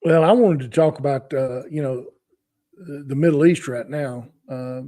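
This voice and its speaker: Mellow man in his sixties, halting talker. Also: medium pitch. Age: 60s. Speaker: podcast co-host. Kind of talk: unscripted talk, opinion and testimonial.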